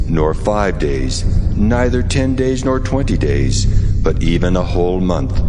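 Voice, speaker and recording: Deep, booming, majestic God in vast reverb. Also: male voice. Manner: solemn, weighty, declamatory. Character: God, stern commanding male deity, wrathful and majestic. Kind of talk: dramatized scripture reading. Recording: long booming reverb, music underneath. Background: music.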